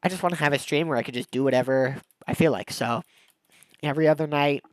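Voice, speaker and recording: A man's voice: on the high side, fast, dry recording. Young male game streamer, stuffed-up nose, loose rambling chat, dry close-mic sound. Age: young adult. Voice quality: congested, nasal from a cold. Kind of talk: casual livestream chat while gaming.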